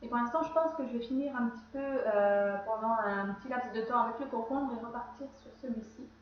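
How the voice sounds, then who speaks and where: A woman's voice: on the high side, a little fast, slight background noise. A woman with a cold chatting casually through her skincare routine, rambling and often correcting herself. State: has a cold. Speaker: beauty vlogger. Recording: very echoey room, slightly dull sound.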